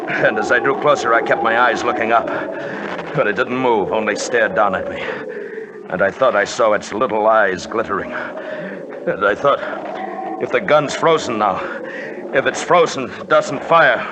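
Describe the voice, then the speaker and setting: A man acting a shaken, haunted adventurer in a 1950s radio drama, narrating with halting, suspenseful intensity. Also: lowish voice, background noise, close to an everyday voice. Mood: tense, fearful. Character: shaken adventurer recounting terror in the snow, grim and haunted.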